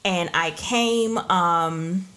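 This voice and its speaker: A woman's voice: fairly low pitch, very slow, a bit bright. American woman hosting a casual chat. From Trinidad and Tobago, moved to the United States at four. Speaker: podcast host.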